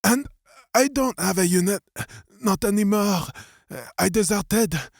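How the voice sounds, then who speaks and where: Casting: scared teenage French deserter soldier played by a male narrator, quiet melodic voice pitched up and cracking, pleading, close dry audiobook sound. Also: slow, creaky, no echo, full character voice. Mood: panicked, pleading. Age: young adult.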